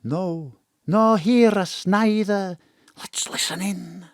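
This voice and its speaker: A woman's voice: mid-range voice, slow, no room sound, clean studio sound. A woman acting in an audio drama as a playful, cheeky Christmas spirit, with a breezy, coaxing manner. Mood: guiding.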